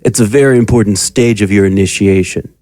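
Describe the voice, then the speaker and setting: Guy playing cruel bossy cult magus, deep mellow voice, bone dry audio drama sound, talks down to you. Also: brisk, dry recording, close to an everyday voice. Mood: scornful. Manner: condescending, commanding. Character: sinister, domineering male occult magus, cruel and mocking mentor.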